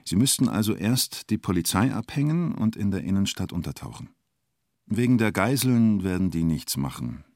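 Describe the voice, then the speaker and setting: A man's voice: fairly low pitch, sing-song, moderate pace, darkish tone, no echo, clean studio sound. A man narrating a scripted documentary radio drama in terse, short phrases. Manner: terse present-tense storytelling in short clipped phrases.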